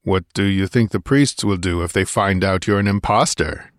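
A man voicing a massive, contemptuous barbarian warrior in an audiobook, barking and rumbling with impatience. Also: moderate pace, no echo, clean studio sound, lightly acted. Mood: scornful. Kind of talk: dramatised audiobook character voice.

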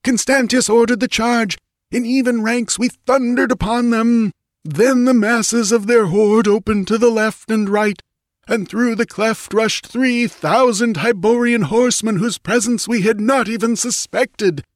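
A man's voice: very high voice, steady tone, measured pace, no room sound, clean studio sound, pitched above the performer's own voice. A man voicing a frantic, skull-headed priest who screams out desperate battle reports in a storybook reading. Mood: frantic, despairing. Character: skull-headed dying priest, frantic, shrieking through a crystal. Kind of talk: dramatic audiobook character voice.

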